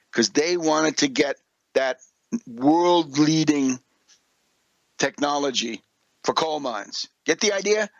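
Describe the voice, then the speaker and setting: Male radio commentator, slightly high, dry sound. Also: fairly bright tone, no room sound, dull audio. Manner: lecturing, didactic, with rhetorical questions and check-ins. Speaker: radio show host and political commentator. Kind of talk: political and economic commentary monologue.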